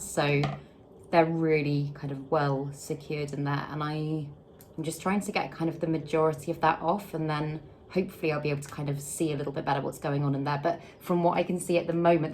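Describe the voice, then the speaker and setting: A young woman from the UK, a houseplant vlogger, talking in a casual, gushing way with a slightly croaky voice. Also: fairly low pitch, slight background noise. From United Kingdom. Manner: gushing, excitable, repeats words, breaks into laughs. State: slightly croaky from a late night. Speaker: houseplant content creator. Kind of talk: casual unboxing vlog.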